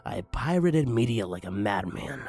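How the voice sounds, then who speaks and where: A man's voice: medium pitch, very animated, normal speed, darkish tone. A man narrating a comedic story aloud in a theatrical, mock-serious way, with sudden emphatic swings. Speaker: narrator reading a parody creepypasta story aloud. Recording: music bed under the voice. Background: background music.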